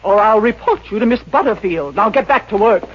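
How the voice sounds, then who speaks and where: A woman playing a haughty, sharp-tongued middle-aged society aunt who scolds, in 1950s radio comedy. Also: medium pitch, expressive, a little fast, lightly acted. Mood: scolding. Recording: muffled, hissy 1950s radio recording.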